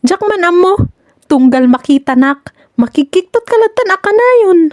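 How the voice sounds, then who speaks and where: A woman acting a flirtatious village woman in an Ilocano comedy radio drama. The voice is high and closely recorded with a dry sound, coy and teasing in an Ilocano accent. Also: animated, a little slow, a little mellow, dry recording. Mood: flirty teasing.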